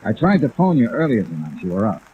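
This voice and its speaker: Wry tough investigator, sardonic, low swinging pitch, 1950s radio drama. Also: male voice, sing-song, fast talker, narrow, tinny audio. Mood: dry, pressing. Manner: sardonic, clipped, unflappable. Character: tough, wry, hardboiled male insurance investigator. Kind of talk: 1950s radio detective acting and first-person narration. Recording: band-limited old radio recording, rain noise.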